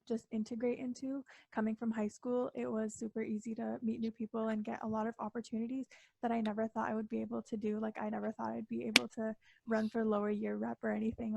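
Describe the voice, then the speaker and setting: A woman's voice: fairly high pitch, gravelly, poor audio. A young woman, a student candidate, giving an upbeat, chatty campaign speech in a debate. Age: young adult. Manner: chatty, upbeat, self-described loud voice.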